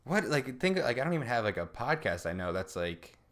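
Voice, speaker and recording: A man's voice: medium pitch, big pitch swings, a little fast, a bit bright. A young man chatting casually as a podcast co-host, jokey, informal and self-deprecating. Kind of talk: casual comedic chat.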